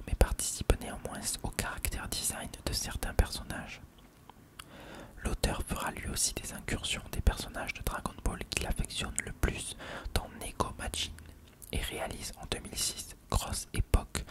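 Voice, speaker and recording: ASMR reader, fully whispered and breathy, for read-aloud factual text. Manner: reading aloud. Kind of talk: whispered ASMR reading of an encyclopedia article.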